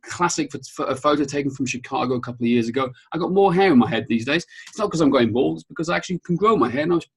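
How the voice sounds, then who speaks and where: A man's voice: a little high, expressive, rapid-fire, few pauses, slightly rough. A Welsh man whose first language is Welsh, a strength coach giving an upbeat webinar presentation and often checking in with "okay?". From Wales.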